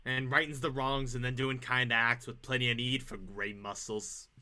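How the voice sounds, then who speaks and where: Salty old cartoon sailor, gruff but kindly, forceful edge, radio-play style. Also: male voice, mid-range voice, little pitch movement, moderate pace. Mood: nostalgic, hearty. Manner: salty, mangled grammar. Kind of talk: 1940s radio play acting.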